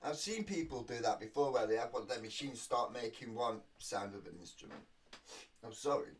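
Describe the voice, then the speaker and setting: A man's voice: mid-range voice, animated, a little fast. A man hosting a reaction video in casual, rambling chat, sounding a little sniffly from a cold. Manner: rambling, self-deprecating asides. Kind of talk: casual chatty commentary. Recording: distant mic with faint noise.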